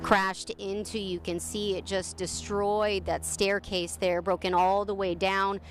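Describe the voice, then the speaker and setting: A woman's voice: medium pitch, level tone, measured pace. A woman field reporter giving a live, partly ad-libbed TV news report. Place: outdoors on a roadside at an incident scene. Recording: noisy outdoor street with traffic.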